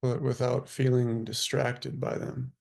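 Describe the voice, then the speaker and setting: Guy leading a meditation, super slow n flat, lots of vocal fry, hushed with long gaps. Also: medium pitch. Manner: unhurried, hushed, sparse phrases with long pauses. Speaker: meditation teacher leading a group. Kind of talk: guided meditation.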